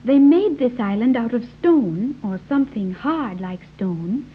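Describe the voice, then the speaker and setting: A woman's voice: a little high, lively intonation, unhurried, slight background noise. A woman playing Joan, a precocious, formal young girl raised by scientists, in a 1930s radio serial. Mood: precise, explaining. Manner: precise, formal diction. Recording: muffled, tinny 1930s radio recording.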